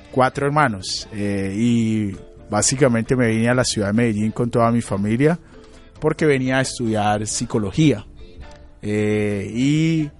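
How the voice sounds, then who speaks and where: A Colombian man in his late thirties, a psychologist and radio guest, answering in a thoughtful, halting way with many fillers and restarts. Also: lowish voice. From Colombia, Chocó. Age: late 30s. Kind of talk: reflective interview answers.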